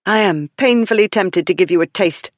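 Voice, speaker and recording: A narrator voicing an indignant aristocratic young lady who scolds crisply and imperiously. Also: mid-range voice, moderate pace, no echo, pitched above the performer's own voice, close to an everyday voice.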